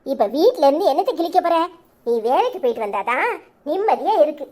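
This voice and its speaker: A woman voicing a sharp-tongued old grandmother who scolds loudly in rapid tirades. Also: sits high, sing-song. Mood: scolding.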